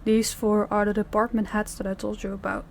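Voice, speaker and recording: A woman's voice: medium pitch, little pitch movement, brisk, vocal fry, a little hiss or hum, close to an everyday voice. Woman acting as a commanding female crime boss. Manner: commanding, businesslike. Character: stern female crime boss running her department heads. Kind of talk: scripted audio roleplay acting.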